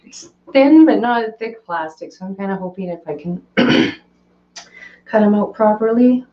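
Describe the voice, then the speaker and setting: A woman hosting a casual live stream from her fish room, chatting and answering viewer comments with a hoarse, cracking voice. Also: medium pitch, lively intonation, normal speed. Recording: roomy, slightly dull home recording.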